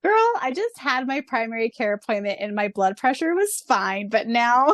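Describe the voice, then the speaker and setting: A woman co-hosting a casual fan podcast, chatting and reading aloud in an excitable, expressive way. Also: fairly high pitch, moderate pace, no room sound, clean studio sound. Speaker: podcast co-host.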